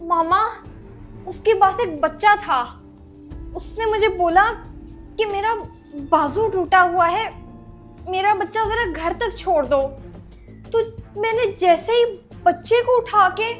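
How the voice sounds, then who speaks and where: A woman's voice: very high-pitched, takes it slowly, soft background music. A female performer playing an obedient, trusting, helpful young girl in an acted moral-story skit. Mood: hurt, upset.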